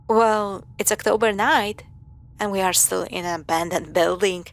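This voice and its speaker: A woman playing a caring, playful young girlfriend in a spooky audio roleplay, talking straight to her partner in halting conversational phrases with sighs. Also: medium pitch, gravelly. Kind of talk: spoken roleplay to the listener.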